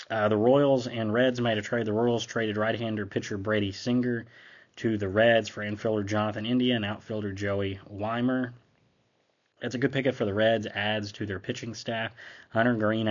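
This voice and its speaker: A man's voice: fairly low pitch, lo-fi sound. Young man with a slight cold. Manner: offhand, conversational, reading off a list. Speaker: host. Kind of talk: casual sports recap commentary.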